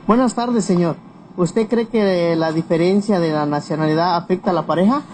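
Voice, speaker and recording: An interviewer reads survey questions somewhat haltingly. The voice is mid-pitched, with light noise around it. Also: a little mellow. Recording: slightly noisy on-location interview recording.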